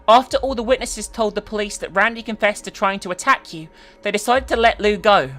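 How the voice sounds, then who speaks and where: Woman playing a doting, bright-voiced mother. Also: mid-range voice, a little fast, pitched above the performer's own voice, natural voice. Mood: reassuring. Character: caring mother comforting her injured son.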